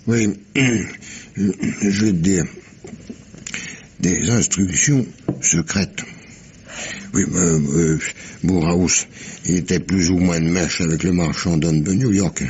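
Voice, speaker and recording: An actor playing a rough, tipsy sailor spinning a sea yarn in slangy, rambling, halting speech, in 1950s French radio mystery style. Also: male voice, sits low, a little mellow, slight background noise. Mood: evasive, confiding.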